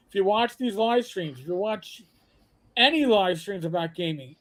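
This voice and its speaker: High-voiced New York man, chatty. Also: rough recording. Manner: animated storyteller, swears, acts out others' voices. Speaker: co-host. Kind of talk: casual chat on a live stream.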